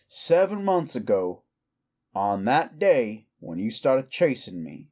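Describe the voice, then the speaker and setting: Guy acting a cowboy outlaw werewolf, real slow talker, brooding and goading. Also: medium pitch, darkish tone, heavily acted. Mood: goading, intense. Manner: slow, deliberate, goading, rhetorical questions. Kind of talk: intimate roleplay audio drama acting.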